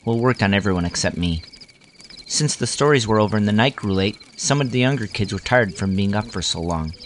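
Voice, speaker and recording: Man, deep voice, reminiscing first-person narration, scripted story, faint background noise. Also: lively intonation, brisk.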